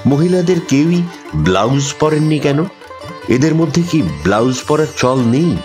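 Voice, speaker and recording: A man narrating a scripted ghost story in a dramatic, suspenseful style with measured phrasing. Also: on the high side. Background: instrumental music.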